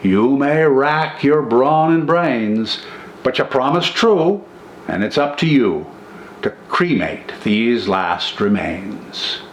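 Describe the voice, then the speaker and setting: An older man voicing the corpse of a man that speaks slowly and ominously, holding him to a promise. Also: medium pitch, lively intonation, slight background noise. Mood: grim. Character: corpse of a man, grimly insistent. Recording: roomy echo.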